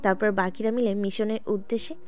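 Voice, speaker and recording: A woman's voice: medium pitch, steady tone, fast talker. A woman narrating a scripted audio story as its storyteller. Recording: music bed under the voice.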